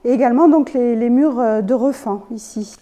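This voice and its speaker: A woman interviewing on an unscripted guided visit, phrasing things in a tentative, halting way. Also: on the high side, warm tone, no echo.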